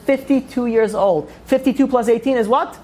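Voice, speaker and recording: A woman's voice: fairly high pitch, moderate pace, a crowd in the background. A woman giving an informal religious lecture to a group, emphatically asking questions and answering them herself. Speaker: religious class teacher.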